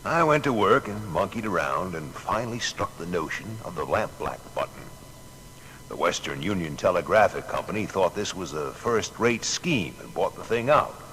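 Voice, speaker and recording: A man's voice: deep voice, animated, moderate pace, fairly bright tone. An actor playing a folksy, boastful American male inventor in a dramatised historical reminiscence, speaking plainly and colloquially. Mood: wry reminiscing. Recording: long hall reverb, background noise.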